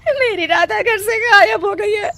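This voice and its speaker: A woman's voice: very high-pitched, measured pace, pushed and bright, no room sound, pitched above the performer's own voice, exaggerated voice. A woman voicing a distraught village mother crying for her missing daughter in a storybook cartoon. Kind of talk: storybook voice acting.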